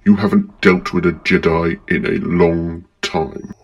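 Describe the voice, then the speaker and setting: A man playing a menacing, commanding dark lord in a fan-made space fantasy audio drama, speaking deliberately and with threat. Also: sits low, wildly swooping pitch, vocal fry, highs cut off, heavily acted. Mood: stern, threatening.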